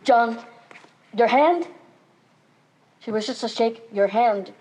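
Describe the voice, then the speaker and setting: A young woman playing a proud, formal Victorian physician in a high school stage play, composed in manner. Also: takes it slowly, a little mellow, low-quality recording.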